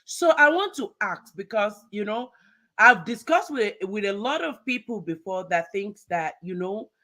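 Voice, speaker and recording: Bright, energetic woman host. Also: medium pitch, a little slow. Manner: energetic, repeats words, ends phrases with "right?". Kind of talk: upbeat motivational live show.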